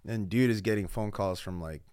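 A man's voice: lowish voice, fairly quick, smooth, no room sound, clean studio sound. A man in his thirties from Guam and California, telling stories in casual, slangy podcast chat as a guest. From Guam and California, born in the Philippines. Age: 30s. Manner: slangy, animated storytelling with quoted asides.

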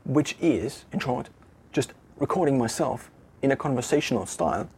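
A man speaking English with a German accent, thinking aloud to a camera in an empty room, halting and correcting himself as he goes. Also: mid-range voice, expressive, vocal fry, a little mellow, a little hiss or hum. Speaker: vlogger. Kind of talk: casual reflective monologue to camera.

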